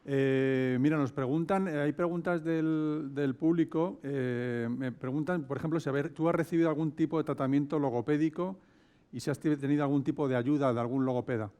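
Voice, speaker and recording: A man from hosts an online panel. His voice sits a little high for a man as he introduces guests and asks them questions. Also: fairly even intonation, a little fast, mellow, clear, resonant tone. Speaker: moderator of an online event. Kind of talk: moderating and interviewing. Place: video call.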